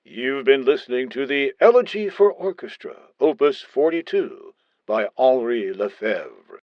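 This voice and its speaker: A man's voice: mid-range voice, animated, measured pace, no echo, natural voice. Man playing a formal radio announcer, muffled sound. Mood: formal, composed. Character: formal male classical-music radio announcer. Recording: dull, band-limited radio broadcast sound.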